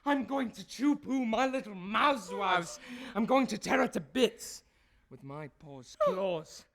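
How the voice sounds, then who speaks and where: A man's voice: high voice, sing-song, takes it slowly, vocal fry, a bit bright, exaggerated voice. A male actor playing a childish, bawdy young man with silly, playful theatrical delivery. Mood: manic, playful. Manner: giggly, silly, playful animal baby-talk. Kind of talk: theatrical stage comedy acting. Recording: noisy stage recording.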